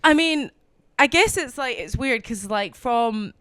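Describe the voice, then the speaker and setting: A woman's voice: on the high side, lively intonation, measured pace, a bit bright, clean tone, no room sound. A young woman with a Glaswegian Scottish accent, chatting playfully and joking as a podcast guest. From Glasgow, Scotland. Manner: playful, joking, laughs often. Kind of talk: casual podcast chat.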